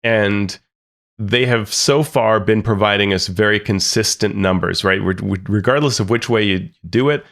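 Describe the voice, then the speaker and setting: Low-voiced man in quick podcast banter. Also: a bit bright. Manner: quick, affirming, laughs readily. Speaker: podcast co-host. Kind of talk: casual analytical chat.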